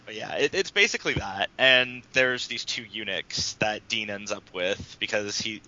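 Bright-voiced man chatting, lo-fi. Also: on the low side, normal speed. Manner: chatty, explains at length with restarts. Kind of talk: casual podcast discussion. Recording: dull lo-fi recording.

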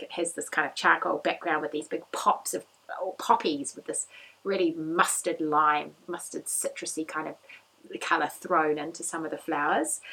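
A woman in her thirties with a slightly croaky voice, hosting a podcast in a chatty, upbeat, rambling way. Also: fairly low pitch, a bit bright, rough recording. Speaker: podcast host. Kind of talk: casual chat.

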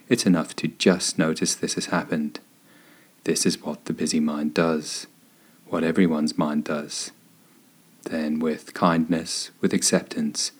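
Low-voiced man guiding a meditation, husky. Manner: gentle, soothing, unhurried instruction with pauses. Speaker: meditation guide. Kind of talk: guided body scan meditation narration.

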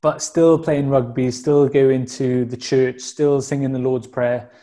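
A man's voice: mid-range voice, steady tone, a little slow, warm tone. A man in his thirties from South Wales with a Welsh accent, a guest talking openly and reflectively in a casual interview, with frequent fillers and restarts. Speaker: podcast guest.

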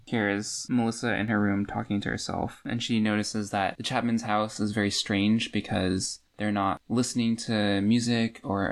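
Woman, podcast co-host with a gravelly, fry-laden voice; relaxed conversational book talk. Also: very deep voice. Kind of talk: casual book discussion chat.

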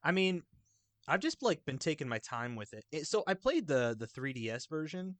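A man chatting casually about video games in a rambling, halting, enthusiastic way. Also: on the high side, very animated, fairly bright tone, no echo. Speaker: video game podcast panelist.

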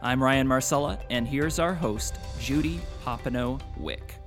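A man giving a scripted podcast introduction in a smooth, announcer-like way. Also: medium pitch, measured pace. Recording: intro over music. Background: music.

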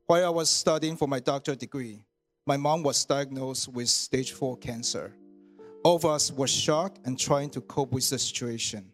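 A man, reading his personal testimony at church in an earnest, measured way. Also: mid-range voice, faint music behind. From Taiwan. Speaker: church member giving testimony.

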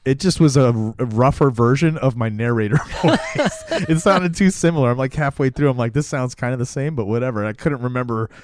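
A man's voice: medium pitch, brisk, few pauses, darkish tone, slight background noise. A man co-hosting a podcast in casual conversation, putting questions to the others. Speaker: podcast co-host.

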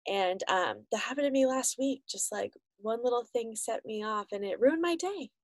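A woman's voice: fairly high pitch, expressive, moderate pace, no echo. A woman interviewer speaks up close in a dry, dead room. Her questions come out casual and reflective, full of small fillers as she thinks aloud. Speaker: podcast host, interviewer.

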